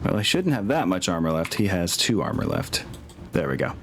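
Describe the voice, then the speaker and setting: Man, low voice, casual game master, encouraging, thinks aloud, music behind him. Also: very animated, a little fast. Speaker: game master of an online tabletop campaign. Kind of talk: casual game refereeing chat.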